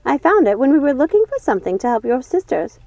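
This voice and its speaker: A woman's voice: sits high, sing-song, fast talker, darkish tone, no echo, soft background music, voice pushed up. A woman voicing a haughty, controlling witch sister in an audiobook, insistent and placating with a condescending, falsely innocent manner. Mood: feigned innocence. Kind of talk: dramatic audiobook character voice.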